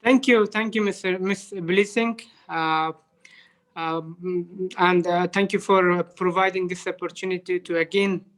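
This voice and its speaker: A man with an Afghan accent giving unscripted interview answers as a guest, courteous, halting and hesitant. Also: high voice, steady tone, a bit bright. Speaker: interview guest, development professional.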